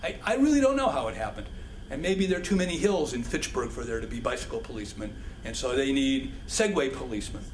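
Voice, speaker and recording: A man answering audience questions at a public policy forum, halting and full of restarts. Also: mid-range voice, lively intonation. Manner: halting, many restarts and fillers. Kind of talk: public Q&A talk. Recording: echoey hall with background noise.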